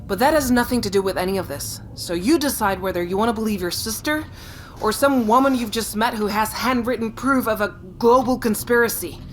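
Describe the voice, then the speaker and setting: Actress as a firm, dismissive executive sister. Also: female voice, on the high side, fairly quick, slight background noise, lightly acted. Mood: curt, weary. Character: busy, brisk tech executive, sceptical older sister. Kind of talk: naturalistic audio drama acting.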